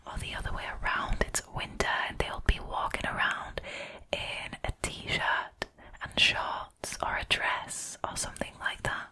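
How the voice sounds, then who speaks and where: A woman, hosting a scary story channel and retelling paranormal encounters in a soft, steady whisper close to the microphone. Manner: soft unvoiced whisper throughout, unhurried.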